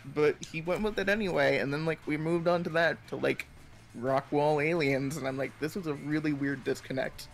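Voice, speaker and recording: A young man chatting casually on a live stream, telling stories in a rambling, halting way full of filler words. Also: a little high, fairly even intonation, gravelly. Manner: rambling, halting storytelling peppered with 'like'. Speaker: stream co-host.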